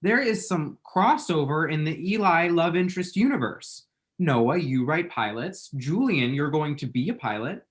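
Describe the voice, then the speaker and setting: A man's voice: a little high, animated, normal speed, natural voice. An actor playing an awkward, wry, lovestruck young man in a screenplay table read, hesitant and self-deprecating. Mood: exasperated.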